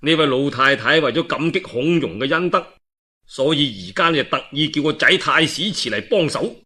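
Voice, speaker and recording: Animated Cantonese storyteller, husky and bright. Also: male voice, a little high, moderate pace. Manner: dramatic, expressive, colloquial asides. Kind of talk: animated traditional storytelling of a historical novel.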